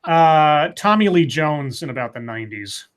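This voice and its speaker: Higher-pitched middle-aged man, emphatic talker. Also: animated, normal speed. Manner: emphatic, sweary. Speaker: podcast guest. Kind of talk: casual opinionated chat.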